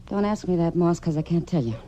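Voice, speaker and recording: A woman's voice: lowish voice, fast talker, mellow, lightly acted. An actress playing a worried, guarded saloon woman in an old-time radio western, firm and stubbornly protective. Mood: weary, guarded. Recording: noisy old radio drama recording.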